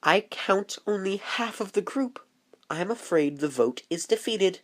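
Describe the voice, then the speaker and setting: Woman doing a strict head nurse for a student film, formal n condescending, pitch moves around a lot. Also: lively intonation, unhurried. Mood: formal, dismissive. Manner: formal, condescending, clipped authority. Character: stern, controlling female head nurse, coldly polite. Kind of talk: scripted amateur film dialogue.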